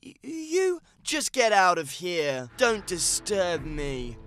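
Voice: medium pitch, wildly swooping pitch, takes it slowly. A performer voicing a conceited, scornful male cartoon fox with a haughty, dismissive manner.

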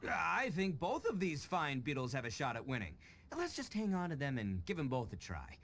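A man's voice: on the high side, wildly swooping pitch, moderate pace, a bit bright, slight background noise, full character voice. A man playing a brash, boastful young oni brawler in an English video game dub, goofy and big-hearted, with boisterous, stammering bravado. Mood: excited.

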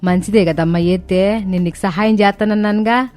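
A woman playing a doting, bustling older village mother-in-law with rustic colloquial speech in an animated story. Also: mid-range voice, moderate pace, natural voice. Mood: supportive. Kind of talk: animated moral-story voice acting. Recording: music bed under the voice.

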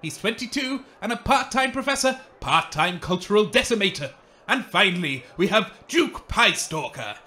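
A man's voice: high voice, big pitch swings, moderate pace, sharp tone, full character voice. A man playing a showy, grandiose wasteland game show host in a comedic radio play.

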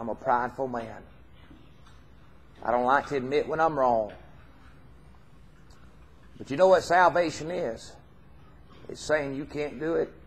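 A middle-aged man with a Southern US accent preaching an informal, folksy, earnest sermon, repeating phrases for emphasis. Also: mid-range voice. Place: church hall stage, indoors.

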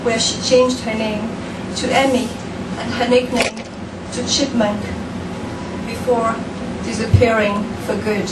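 Slow-reading woman poet, distant and echoey. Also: a little high, level tone. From France. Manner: slow, deliberate, measured reading. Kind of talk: poetry reading. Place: dim room with a lamp, indoors. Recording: echoey room, distant mic, background noise.

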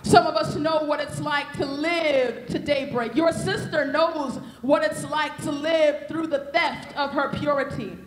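A woman's voice: high voice, fairly even intonation, measured pace, hardly any pauses, a little hiss or hum. A young woman preaching a sermon on a convention stage into a handheld mic, with a rhythmic, repetitive cadence. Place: convention hall stage with podium. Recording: echoey hall.

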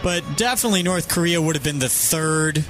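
A man's voice: fairly high pitch, brisk, fairly bright tone, faint music behind. A man in casual podcast banter speaking in a dry, matter-of-fact way.

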